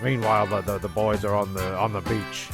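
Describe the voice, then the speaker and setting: Man, heavy vocal fry, sweary comedy narrator, halting and repetitive delivery, music playing behind. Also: on the low side, flat delivery. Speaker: narrator and host of a comedy radio play. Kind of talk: sweary scripted comedy banter and recap.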